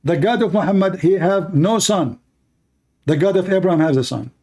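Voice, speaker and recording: A man preaching to his viewers with a dark, mellow voice pitched a little high. He declaims emphatically and repeats his points for force. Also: normal speed. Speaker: online religious debater.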